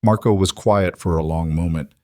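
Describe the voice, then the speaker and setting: A man reading a dark romance story aloud in a measured, even way, voicing every character himself. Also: sits low, no echo, clean studio sound. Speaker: narrator. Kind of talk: scripted story narration.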